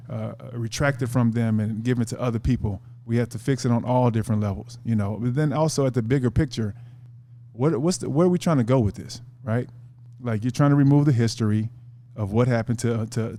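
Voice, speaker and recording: A man's voice: mid-range voice, fairly even intonation, fairly quick, dark tone. A man, an author guest on a podcast, talking earnestly and casually, often repeating words as he thinks.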